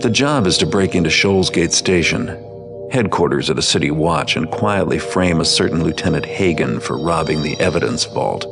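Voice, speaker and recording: A man playing a cynical, world-weary master thief in a video game, narrating in a deadpan, sardonic way. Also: a bass voice, moderate pace, gravelly, exaggerated voice. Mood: guarded, matter-of-fact. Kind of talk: scripted first-person game briefing narration. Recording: loud music under the voice. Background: music.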